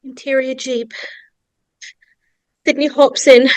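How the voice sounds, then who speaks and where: A woman narrator reading horror screenplay stage directions in a dramatic, suspenseful way, with deliberate pauses. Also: sits high, little pitch movement, a little mellow, clear, resonant tone, no echo. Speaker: narrator of a script table read.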